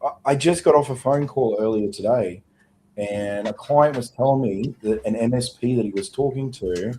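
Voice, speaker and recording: A man co-hosting a business podcast, talking in a relaxed, conversational way. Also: medium pitch, slight background noise, low-quality recording. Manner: relaxed, conversational, chuckles easily. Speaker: podcast co-host. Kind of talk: casual chat.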